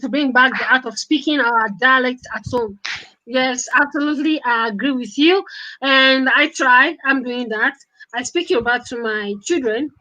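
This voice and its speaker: A woman's voice: high-pitched, bright, forceful tone, rough recording. A woman chatting live as a guest writer, earnest and emphatic, often repeating her phrases. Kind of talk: casual live chat. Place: at home abroad.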